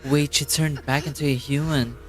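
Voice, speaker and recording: A man's voice: a little high, fairly even intonation, normal speed, soft background music. A man casually reacting as he streams a game, with muttered exclamations and swearing. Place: indoor streaming setup, game music faintly behind.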